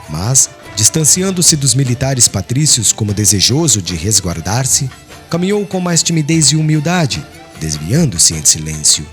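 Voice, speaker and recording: A man reading an audiobook as dramatic literary narration, in a solemn, formal and expressive manner. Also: mid-range voice. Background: background music.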